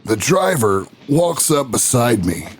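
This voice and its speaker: An actor playing a brash male talk show host, narrating his own investigation in an audio drama with a folksy, swaggering manner and dramatic pauses. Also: mid-range voice, very animated, slight background noise. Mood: conspiratorial. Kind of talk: scripted first-person narration in an audio drama.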